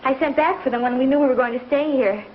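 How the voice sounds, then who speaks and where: A woman's voice: sits high, fast talker, slight background noise, close to an everyday voice. An actress playing a refined, sensitive young frontier wife and mother in a 1950s television western. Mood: earnest, fond. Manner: refined, ladylike. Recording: muffled 1950s TV soundtrack, music behind.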